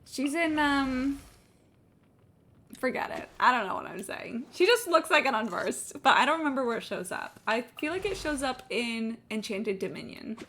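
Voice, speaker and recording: A woman's voice: sits high, lively intonation, moderate pace, a bit bright. A woman chatting casually on a gaming livestream in a rambling, sweary, self-deprecating way. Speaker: live game streamer. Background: game music.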